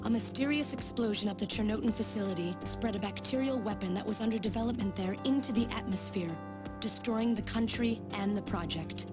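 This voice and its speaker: A woman voicing a grave, sorrowful scientist in a video game, telling of a dark past with dramatic delivery. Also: medium pitch, a little fast, music in the background, natural voice.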